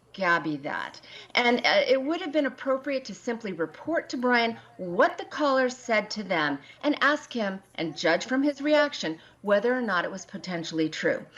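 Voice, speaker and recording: A woman's voice: mid-range voice, fairly bright tone. A woman giving analytical news commentary as a panelist, speaking over a dull broadcast link. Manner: acts out hypothetical quoted questions.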